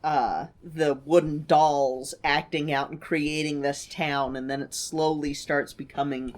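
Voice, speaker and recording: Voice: mid-range voice, flat delivery, measured pace. Enthusiastic podcast co-host in casual chat. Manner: enthusiastic, gushing agreement.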